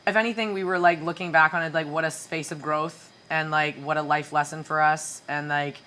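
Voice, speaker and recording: A young woman from Canada with a naturally low voice, a singer chatting casually on a podcast, full of fillers and laughter. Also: steady tone, a little fast, bright, forceful tone, slight background noise. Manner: chatty, laughs often, swears, many fillers. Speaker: guest singer.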